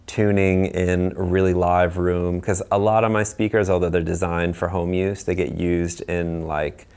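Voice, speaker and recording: A man's voice: deep voice, level tone, normal speed, a little mellow, clean tone. A man who designs audio gear, explaining technical choices in an informal, conversational way.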